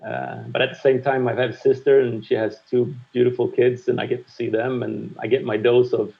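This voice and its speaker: A man's voice: mid-range voice, level tone, brisk, creaky, dull audio. A man in his fifties, a music producer, talking frankly and reflectively in an interview, swearing now and then. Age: 50s. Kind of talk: candid conversational interview.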